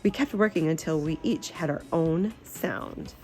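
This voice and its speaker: A woman reading a children's storybook aloud in an expressive, animated, emphatic narration. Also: deep voice, unhurried, soft background music.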